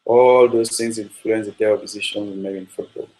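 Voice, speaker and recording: A man from Nigeria, a football coach giving a measured talk on his coaching philosophy with frequent fillers. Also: lowish voice, fairly even intonation, warm tone, rough recording.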